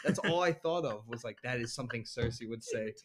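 This is a man in relaxed podcast conversation. He talks casually and jokily, like a co-host recapping something with a friend. He slips into quick mimicked reactions as he goes. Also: medium pitch, a crowd in the background. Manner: joking, mimics characters' reactions. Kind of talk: casual chat reviewing a TV episode.

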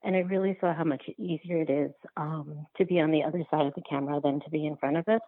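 A woman being interviewed as a filmmaker, talking reflectively and haltingly as she thinks aloud and rephrases. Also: deep voice, fairly even intonation, no room sound. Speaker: guest, documentary filmmaker. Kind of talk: reflective podcast interview conversation. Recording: thin, phone-like line.

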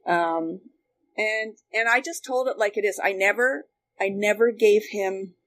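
A woman with a Canadian accent chatting casually and telling stories in an animated way, as a guest looking back. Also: medium pitch, measured pace. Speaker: guest, former radio worker. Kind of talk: casual reminiscing conversation. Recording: noticeable background noise.

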